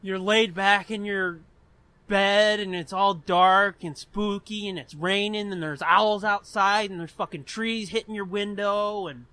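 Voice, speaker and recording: A man co-hosting a casual podcast, joking crudely and laughing loudly between lines. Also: sits high, fairly even intonation, measured pace, clean tone, dry recording. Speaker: podcast co-host. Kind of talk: casual comedic podcast banter.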